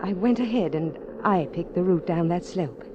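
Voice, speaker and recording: An actress playing an earnest, secretly desperate married woman climber in a 1940s radio drama, with hushed pleading and dramatic pauses. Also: fairly low pitch, natural voice. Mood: urgent, confessing. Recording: muffled, noisy 1940s radio recording.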